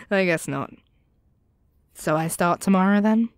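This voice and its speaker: A woman's voice: lowish voice, takes it slowly, clear, resonant tone, dry recording. Woman acting a cocky thief, close dry sound. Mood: teasing, sardonic. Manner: expressive, sighing, sardonic. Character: cocky young female commoner thief, defiant, resentful. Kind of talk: audio roleplay acting, one-sided dialogue addressed to the listener.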